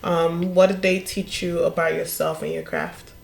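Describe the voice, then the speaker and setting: A woman's voice: on the low side, little pitch movement, moderate pace, vocal fry, echoey room. A young woman hosting an interview, casual and slangy, stumbling over her words at times. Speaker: host.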